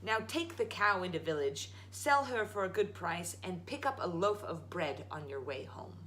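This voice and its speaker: A woman's voice: on the low side, sing-song, moderate pace, a bit bright. A woman voicing a stern, fretful widowed mother in a storybook, scolding and emphatic. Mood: firm, weary. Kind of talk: storybook read-aloud.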